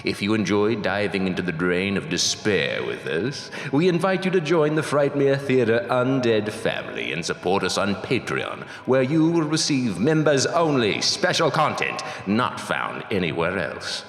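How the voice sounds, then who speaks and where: Male actor as spectral radio announcer: formal, theatrical, ominous, lowish voice in echoing reverb. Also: big pitch swings, moderate pace, few pauses. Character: stately, ghostly male announcer of a horror show. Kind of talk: scripted horror radio announcing. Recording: echoey hall-like reverb.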